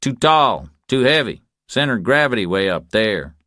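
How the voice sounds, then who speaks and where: Man acting cocky wiry ranch hand, raised pitch, blunt needling delivery, folksy clipped phrases, audiobook, dry close sound. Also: sing-song, normal speed, pushed and bright, no echo. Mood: mocking. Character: cocky, wiry rodeo ranch hand, male, sneering at newcomer. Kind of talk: audiobook character dialogue.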